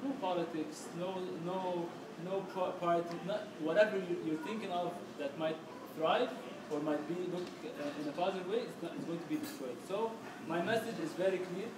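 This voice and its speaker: A man's voice: high voice, normal speed. A man giving an emphatic speech at a community meeting, repeating words as he goes. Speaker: guest speaker. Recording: echoey room, distant mic, noisy background.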